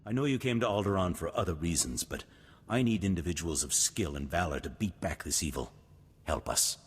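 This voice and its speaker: A deep, husky noble duke. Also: male voice, lively intonation, a little fast. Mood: grave, determined. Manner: formal, stately, grave. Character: noble, resolute older duke, solemn war leader. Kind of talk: cinematic video game voice acting. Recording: long hall reverb, music behind.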